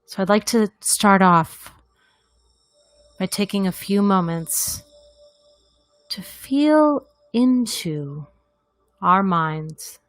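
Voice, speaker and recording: A woman leading a guided meditation in a gentle, deliberate manner, leaving long pauses between phrases. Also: fairly low pitch, dry recording, studio quality.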